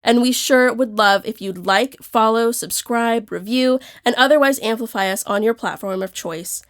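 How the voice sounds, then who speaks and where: A woman announcer delivers a scripted promotional outro for a podcast. Her voice sits a little higher than most women's, and she reads it as prepared copy. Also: normal speed.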